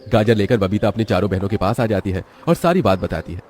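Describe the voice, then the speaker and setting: A man narrates a children's moral story with dramatic delivery. His voice is fairly low and he moves along quickly over faint music. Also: lively intonation, a little mellow.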